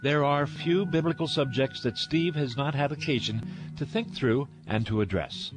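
A man reading a scripted promo as a radio announcer in a smooth, polished delivery. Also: fairly high pitch, moderate pace, over music, rough recording.

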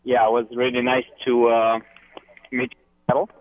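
A man calling in to a radio show, speaking hesitantly with pauses. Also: medium pitch, monotone, slight background noise. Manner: hesitant, halting. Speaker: caller. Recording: tinny phone line.

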